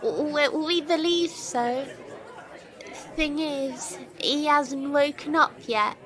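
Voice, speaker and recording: A woman's voice: high-pitched, slow pace, fairly bright tone, a faint crowd. A woman voicing a hesitant hospital worker who stammers and hedges. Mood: nervous.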